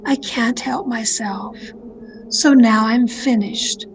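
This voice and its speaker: An actress playing a jealous, murderous mystery novelist in a radio drama, with deliberate melodramatic delivery over a home call recording. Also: female voice, a little high, a little hiss or hum. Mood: resigned confession. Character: jealous, murderous woman mystery novelist confessing her crimes. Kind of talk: dramatic first-person radio mystery narration. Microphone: video call.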